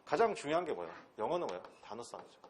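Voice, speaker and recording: A man's voice: mid-range voice, very animated, rapid-fire, slight background noise. A man with a Gyeongsang accent from Pohang giving a classroom lecture as an English instructor. He talks bluntly and casually, with crude slang, and keeps throwing rhetorical questions at his students. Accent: Gyeongsang dialect. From Pohang, South Korea. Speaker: English instructor at a transfer-exam academy.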